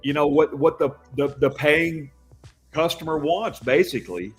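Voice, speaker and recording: An older man who works as a Santa, a guest on a podcast, talking in a loud booming voice with frequent restarts. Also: normal speed, soft background music. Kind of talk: casual podcast chat.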